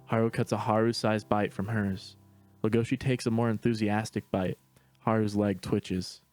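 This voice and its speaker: A man narrating stage directions in a steady, matter-of-fact reading voice. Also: lowish voice, moderate pace, no room sound, faint music behind. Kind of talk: plain read-aloud narration.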